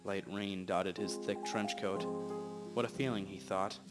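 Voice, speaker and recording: A man's voice: fairly low pitch, steady tone, a little slow. A young man hosting and narrating an amateur scripted radio drama. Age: teens or young adult. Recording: amateur audio with music behind. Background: guitar music.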